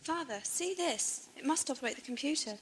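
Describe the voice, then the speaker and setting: A woman's voice: high voice, expressive, brisk, a little hiss or hum. A woman playing an earnest, wary young fugitive in a fan audio drama, speaking formally and urgently. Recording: old cassette, sound bed behind.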